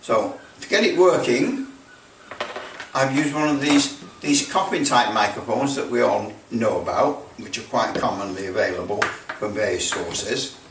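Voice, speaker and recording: A man in his sixties giving a casual hands-on explanation, rambling and haltingly thinking aloud as he goes. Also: medium pitch. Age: 60s. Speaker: radio repair video maker. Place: small indoor workshop with block walls. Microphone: camera mic at a distance. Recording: roomy, distant mic, background noise.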